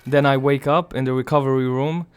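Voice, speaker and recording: Young guy doing game commentary, raspy and weak after surgery, talks flat and quiet with lots of pauses. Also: mid-range voice. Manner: quiet, slow, strained, pausing often. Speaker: gaming commentator. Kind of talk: casual gameplay commentary.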